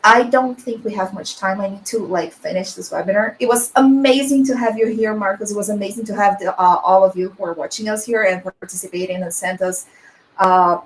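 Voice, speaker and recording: A woman's voice: mid-range voice, brisk, slight background noise, rough recording. A young woman with a Brazilian Portuguese accent hosting a webinar in casual chat, laughing often and filling with "like". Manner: chatty, laughs often, fills with "like". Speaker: webinar host. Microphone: video call.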